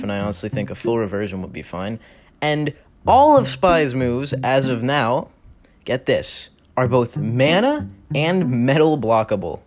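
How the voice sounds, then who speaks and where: A young man giving blunt, slangy, exasperated opinions in a gaming commentary voice-over. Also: medium pitch. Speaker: gaming video creator. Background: music.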